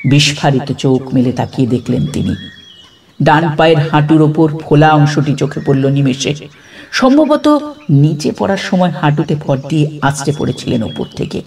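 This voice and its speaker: A man tells a scripted horror tale in a fairly high voice. He is dramatic and suspenseful, breaking sentences into short phrases. The voice sits a little away from the mic with faint noise. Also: animated, moderate pace, creaky, darkish tone, distant mic. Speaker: story narrator.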